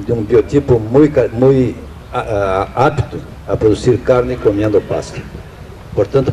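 An older man from Brazil with a Portuguese accent, speaking Spanish as a livestock show judge at an outdoor ring, measured and a little halting, through a handheld microphone. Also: mid-range voice, warm tone. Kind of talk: public address at a cattle show. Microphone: handheld microphone over loudspeakers. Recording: distant outdoor PA sound, faint noise.

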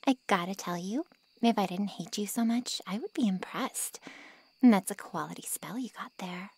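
A woman playing a snide, taunting female monster in an ASMR roleplay, with a sarcastic drawl and a breathy, half-whispered voice. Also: medium pitch, normal speed, pauses often, no room sound. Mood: teasing, sardonic. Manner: sarcastic, insulting, teasing drawl.

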